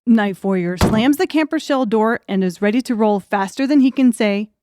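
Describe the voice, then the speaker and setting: A woman's voice: fairly high pitch, moderate pace, background noise. A woman giving scripted audiobook narration as the storyteller of a drama.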